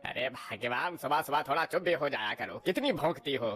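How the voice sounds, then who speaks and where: A woman voicing a grumpy older father-in-law in a cartoon who grumbles and hushes others. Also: a bass voice, lively intonation, moderate pace, soft background music. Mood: grumbling.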